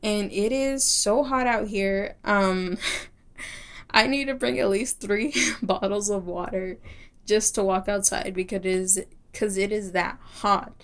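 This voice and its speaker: A woman's voice: medium pitch, slow pace. Young woman, light Texas twang, solo podcast host; rambles, laughs often, lots of ums and restarts. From Texas, United States. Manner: rambling, giggly, many fillers and restarts. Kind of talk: casual solo podcast chat.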